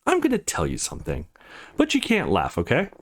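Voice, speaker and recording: A man's voice: medium pitch, huge pitch swings, a little fast. A man voicing an earnest, troubled teenage boy in a visual novel, serious and insistent as he explains himself. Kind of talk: visual novel character reading.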